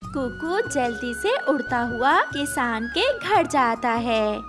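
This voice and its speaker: Slow-paced woman narrating a children's story. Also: high-pitched, big pitch swings, over music. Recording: clear background noise.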